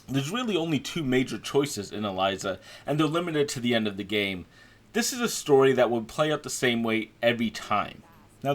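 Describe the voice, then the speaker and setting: Man with lively, swinging intonation. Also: mid-range voice. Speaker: video game reviewer. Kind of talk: review voice-over commentary.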